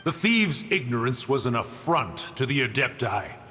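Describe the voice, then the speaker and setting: An actor playing a proud, stern and ancient mountain guardian in a video game, speaking in pompous, archaic, formal phrases. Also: male voice, mid-range voice, very animated, moderate pace, pushed and bright, slight background noise. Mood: wrathful, commanding. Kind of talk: scripted video game voice acting. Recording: long cavernous reverb.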